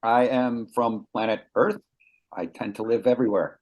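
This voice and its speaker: A man's voice: mid-range voice, steady tone, normal speed, slightly rough. A man from the Chicago area introducing himself on a group call, joking and laughing at his own lines. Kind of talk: casual self-introduction.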